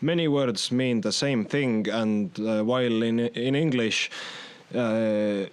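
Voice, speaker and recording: Male podcast host, Estonian accent, smooth mid-range voice, halting conversational delivery. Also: mid-range voice. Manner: halting, searching for words. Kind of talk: casual conversational interview.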